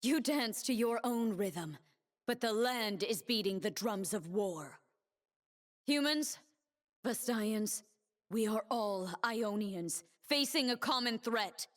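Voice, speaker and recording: A woman's voice: a little high, lively intonation, slow pace. A woman playing a proud, stern young warrior who defends her homeland, with a solemn, defiant, cutting delivery for a video game. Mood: haughty, teasing. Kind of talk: video game character voice lines.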